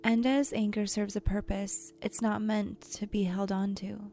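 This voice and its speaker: A woman leading a guided imagery meditation in a soft, soothing, gentle voice that turns breathy at times, with unhurried pauses. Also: medium pitch, level tone. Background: soft background music.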